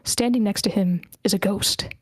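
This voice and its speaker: A woman's voice: mid-range voice, normal speed, no echo. A woman hosting an online table read, casually organizing and reading screenplay stage directions aloud. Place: online meeting.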